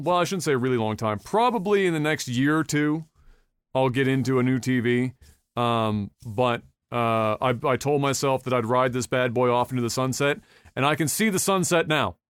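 A man's voice: medium pitch, moderate pace, clean tone, dry recording, studio quality. A young man hosting a podcast in casual chat, rambling with lots of fillers, restarts and swearing. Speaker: podcast host.

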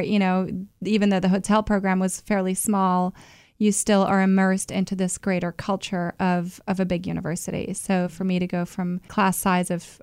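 A woman's voice: fairly low pitch, vocal fry, a little mellow, dry recording, studio quality. A woman answering as an interview guest, recalling her background and career conversationally. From born in Switzerland, moved to New York. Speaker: interview guest, hotel general manager.

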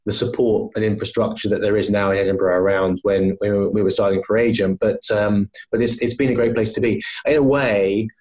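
Low-voiced English businessman, thoughtful. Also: fairly even intonation, fairly quick, few pauses, darkish tone, low-quality recording. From London, England. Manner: thoughtful, hesitant with many restarts. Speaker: company founder, podcast guest. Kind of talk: conversational interview.

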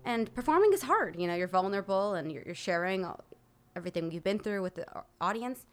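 A woman's voice: medium pitch, animated, fast talker. A young woman, a musician guest on a podcast, talking frankly and emphatically. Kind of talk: casual interview chat.

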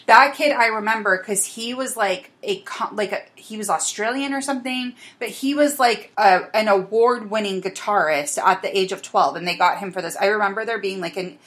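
A woman's voice: mid-range voice, moderate pace, few pauses, fairly bright tone. A woman in her thirties co-hosting a podcast, chatting casually with lots of "like" fillers and laughing asides. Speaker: podcast co-host. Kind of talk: casual chat.

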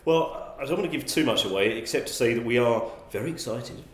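A man's voice: medium pitch, animated, fast talker, slight background noise. A man, answering interview questions thoughtfully and often restarting his sentences. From England. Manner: thoughtful, frequent restarts and repeated words. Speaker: guest, magazine publisher. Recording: roomy echoey sound.

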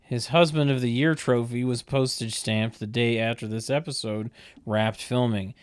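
A narrator reading stage directions in a deadpan, wry, mock-literary comic style. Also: medium pitch, normal speed, clear, resonant tone, no echo. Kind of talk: deadpan comedic script narration.

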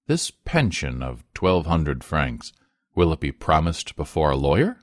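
A man voicing a grave, deliberate peasant husband who speaks in a weighty, terse way in a storybook reading. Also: rumbling low, sing-song, no room sound, clean studio sound, heavily acted. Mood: cautious.

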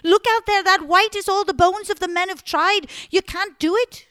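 A woman voicing a bold young red-haired heroine who talks in a breathless, pleading, shrill rush. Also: fast talker, no room sound, studio quality. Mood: frantic.

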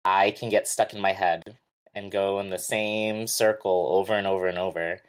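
A man with a very deep voice co-hosting a casual podcast. He speaks in a measured, thoughtful way, relaxed and unhurried. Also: low-quality recording. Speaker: podcast co-host.

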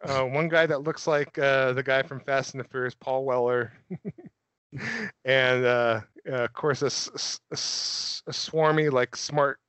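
Male podcast host, chatty and joking, mid-pitched, dry close sound. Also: takes it slowly, fairly bright tone, clear, resonant tone, no echo. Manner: joking, laughs often, riffs with co-hosts. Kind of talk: casual chat.

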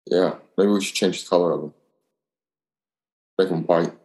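Low-voiced man in echoey room. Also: fairly even intonation, normal speed, a little mellow. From Alabama, United States. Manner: profane, slangy, acts out dialogue. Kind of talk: casual chat, telling rambling anecdotes.

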